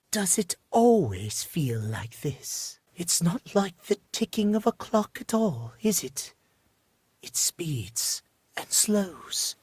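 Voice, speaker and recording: A man's voice: very high-pitched, a bit bright, no echo, clean studio sound, heavily acted. A man voicing a prim, fussy and earnest living clock in a video game, with formal, theatrical old-fashioned diction. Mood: awed, tender. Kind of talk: animated video game character acting.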